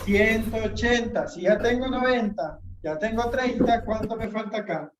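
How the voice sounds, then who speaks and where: A woman's voice: a little high, normal speed, reverberant. Woman, math tutor, patient repeated questions, distant mic, faint room noise.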